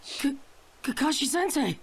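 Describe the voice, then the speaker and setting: A woman voicing a brash, headstrong teenage boy ninja in a game cutscene, loud and stubborn. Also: sits high, expressive, unhurried. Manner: loud, stubborn, urgent pleading. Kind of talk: game cutscene English dub.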